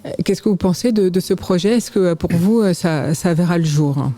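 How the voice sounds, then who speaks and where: Higher-pitched, mellow male interviewer. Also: normal speed, a little hiss or hum. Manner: hesitant, rephrasing questions as he goes. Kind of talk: conversational interview questions.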